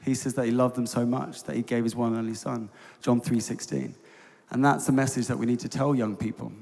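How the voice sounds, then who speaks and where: A man's voice: medium pitch, steady tone, brisk, creaky, a little mellow. Man preaching in a reverberant hall. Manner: preacherly, builds to emphatic rhetorical peaks. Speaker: youth ministry leader. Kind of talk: conference talk, then hosting a panel. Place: large concert hall on stage. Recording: large hall with long reverb.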